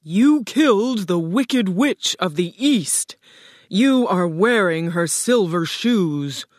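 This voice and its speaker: Woman putting on Oz, a loud, slow, commanding wizard. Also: animated, no echo, studio quality. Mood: demanding, threatening. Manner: slow, loud, drawn-out, booming pronouncements. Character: giant disembodied wizard head, commanding, imposing, stern, male. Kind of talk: storybook character voice.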